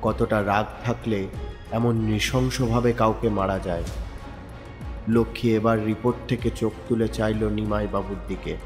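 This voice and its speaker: A man narrating a Bengali crime audio story in a steady storytelling manner. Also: lowish voice, a little slow, long reverb tail, faint music behind.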